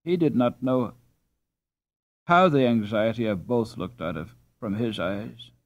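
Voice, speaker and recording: A man narrating a children's storybook in a measured, expressive reading style. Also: mid-range voice, muffled recording. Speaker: audiobook narrator.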